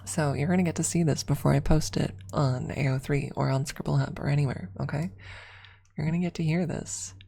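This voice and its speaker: A woman streamer speaking softly and breathily in an ASMR style, close to the microphone, often slipping toward a whisper. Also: deep voice, fairly even intonation, brisk, vocal fry. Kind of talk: ASMR, casual chat and soft story reading.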